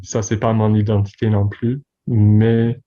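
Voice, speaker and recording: A man's voice: fairly low pitch, flat delivery, measured pace, dark tone, muffled recording. A man from Newfoundland and Labrador, a newspaper director, speaking in a hesitant casual conversation and often repeating words. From Newfoundland and Labrador, Canada.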